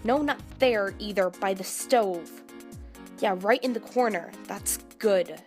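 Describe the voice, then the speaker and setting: A woman playing a gruff, bossy, contemptuous head chef in an audio drama, brusque and sarcastic. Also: mid-range voice, slow, soft background music, close to an everyday voice. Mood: bossy, exasperated. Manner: brusque, sarcastic, barking folksy curses. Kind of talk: audio drama character acting.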